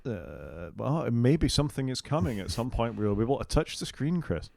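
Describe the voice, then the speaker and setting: A British man co-hosting a casual tech podcast, going through a list of features and giving quick, opinionated takes. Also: mid-range voice, big pitch swings, vocal fry, a little mellow, no room sound, studio quality. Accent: British English. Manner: fast-talking, opinionated, goes down the list item by item. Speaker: podcast co-host.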